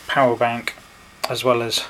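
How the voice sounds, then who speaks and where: A man presents a hands-on product demonstration in a medium-pitched voice. He goes step by step in short, unhurried phrases. The home recording is plainly noisy, with clicks. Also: flat delivery. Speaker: product reviewer.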